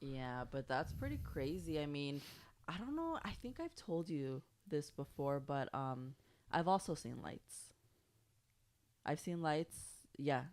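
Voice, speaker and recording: A woman's voice: deep voice, animated, takes it slowly, a little mellow. A woman whose first language is Spanish, reading a scary story aloud on a podcast and then chatting casually, often stumbling over words and laughing at herself. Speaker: podcast co-host.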